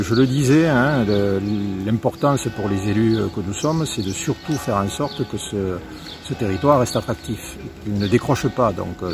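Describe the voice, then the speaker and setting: A man speaking as a local elected official in an on-site interview, explaining in a semi-formal, halting way. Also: sits low. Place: outdoors in a town park. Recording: clearly noisy outdoor ambience.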